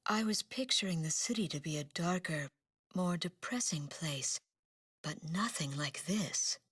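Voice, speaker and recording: Low, pensive woman narrating a war journey. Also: expressive, measured pace, fairly bright tone, husky, no echo, heavily acted. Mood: quiet wonder. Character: pensive war-weary woman searching through a war zone. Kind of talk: scripted dramatic narration.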